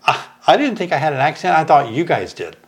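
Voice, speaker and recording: A man's voice: fairly high pitch, sing-song, fast. A middle-aged man from Tennessee, hosting a beekeeping channel and chatting casually in an easygoing, folksy, rambling way. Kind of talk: casual chat.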